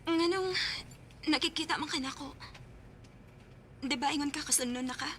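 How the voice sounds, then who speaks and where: A woman's voice: sits high, moderate pace, a bit bright. An actress playing a wounded young former girlfriend putting on a brave face in a sentimental radio drama. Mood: hurt, guarded. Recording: noisy, with background ambience.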